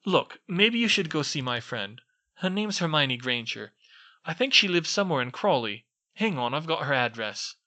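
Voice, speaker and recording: A man voicing a nervous young wizard boy who stammers and talks in urgent hushed tones. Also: on the high side, big pitch swings, normal speed, pitched above the performer's own voice. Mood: earnest.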